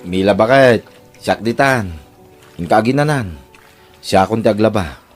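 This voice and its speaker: A man's voice: lowish voice, measured pace, faint music behind, close to an everyday voice. A man playing a controlling, jealous working-class husband in an Ilocano audio drama, with a Filipino Ilocano accent. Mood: tender, insistent.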